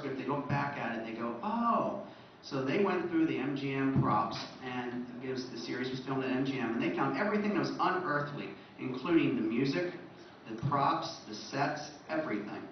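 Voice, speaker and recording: A man giving an informal illustrated lecture as a presenter at a fan convention, recorded in an echoey room from a distance. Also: medium pitch. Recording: echoey room, distant muffled mic, faint noise.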